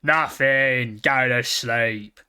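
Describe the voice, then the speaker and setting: A man voicing a gruff, rumpled caravan man in a storybook, blunt and exclamatory. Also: mid-range voice, extremely slow, pushed and bright, heavily acted. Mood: grumpy, muffled.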